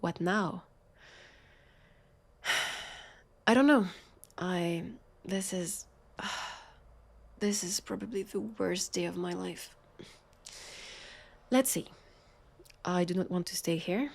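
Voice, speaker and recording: A woman with a French accent playing a sardonic, weary, centuries-old vampire police officer in an intimate audio roleplay, breathy and sighing. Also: lowish voice, extremely slow, long pauses, heavily acted. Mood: exasperated. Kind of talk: intimate scripted audio roleplay addressed to listener.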